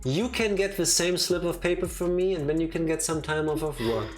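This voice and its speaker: Playful, teasing game streamer, casual live commentary, medium pitch, music underneath. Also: fairly quick. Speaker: streamer playing and reading out a game. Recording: music behind the voice.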